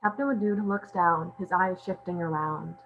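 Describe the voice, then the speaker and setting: A woman's voice: lowish voice, moderate pace. Woman narrating a script, muffled with hum. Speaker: stage directions reader. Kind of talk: scripted narration at a table read. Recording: muffled audio with clear hum.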